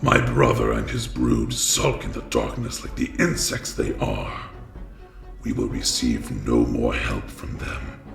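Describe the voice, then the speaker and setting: A menacing vampire lord for audio drama, drawn out and imperious, pitch swinging wildly, gravelly. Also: male voice, big pitch swings, unhurried, soft background music, exaggerated voice. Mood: cold, scheming. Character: arrogant, contemptuous vampire lord, huge and commanding. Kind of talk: fantasy audio drama acting. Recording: roomy reverb.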